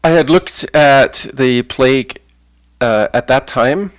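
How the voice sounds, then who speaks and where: A man giving a measured explanatory talk, pausing with frequent fillers. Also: medium pitch. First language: not Italian. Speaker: lecturer. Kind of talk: spoken talk.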